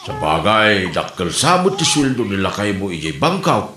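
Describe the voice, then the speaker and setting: A man's voice: fairly low pitch, sing-song, moderate pace, bright, forceful tone, slight background noise. A man with an Ilocano accent playing a chatty, teasing old father in an audio comedy drama. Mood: teasing, concerned. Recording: echoey room.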